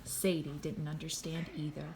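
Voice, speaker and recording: Expressive woman reading a children's story. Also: sits low, normal speed, slight background noise. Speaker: storybook reader.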